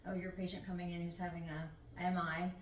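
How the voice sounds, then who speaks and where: A woman's voice: lowish voice, level tone, fairly quick. A middle-aged woman, a nurse practitioner, answering interview questions in an easygoing, talkative, slightly meandering way. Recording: roomy, distant mic, faint noise.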